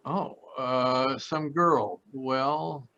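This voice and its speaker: A man playing a flippant, flirtatious private detective in 1940s radio style, with smooth-talking wisecracks. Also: mid-range voice, fairly even intonation, very slow, sharp tone, full character voice. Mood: flirtatious, evasive. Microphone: video call. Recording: dull, lo-fi video call audio.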